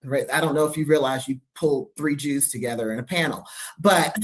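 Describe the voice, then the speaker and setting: Woman panel speaker, from new york city, halting, reflective, lots of pauses. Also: extremely low pitch. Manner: halting, many fillers, reflective. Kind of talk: panel talk at a university event.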